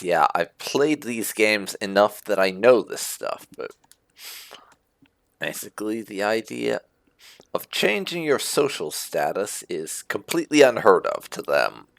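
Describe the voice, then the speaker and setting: A man's voice: low-pitched, very animated, unhurried, gravelly, no echo. A man giving casual, explanatory playthrough commentary as a gaming host, talking informally and close to the mic. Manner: informal, explanatory, reading dialogue choices aloud.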